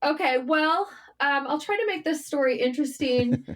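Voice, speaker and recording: High-voiced American woman telling stories. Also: expressive, moderate pace, slight background noise. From Michigan, United States. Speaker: podcast guest, writer. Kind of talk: conversational interview storytelling.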